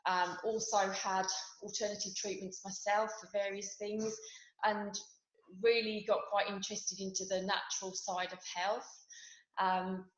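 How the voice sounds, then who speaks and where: A woman's voice: mid-range voice, level tone, measured pace. A woman in her forties with a West Midlands accent, chatting casually as an interview guest, with many ums and restarts. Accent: West Midlands English. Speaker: guest, nurse and skincare founder. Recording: echoey room, dull lo-fi sound.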